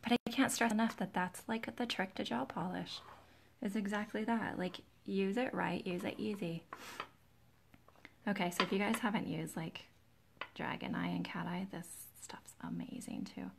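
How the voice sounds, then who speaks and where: A woman hosting a live nail tutorial in a chatty, relaxed way, with a soft, breathy, soothing voice that often drops near a whisper, close to the microphone. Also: mid-range voice, moderate pace, background noise. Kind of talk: chatty live-stream demonstration, greeting viewers. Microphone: close to the camera's microphone.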